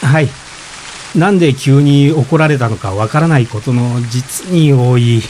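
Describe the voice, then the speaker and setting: An actor playing a polite, gentle, self-effacing expectant father in reflective radio drama dialogue. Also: medium pitch, a little slow, a little hiss or hum, lightly acted. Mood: gently reflective. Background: rain.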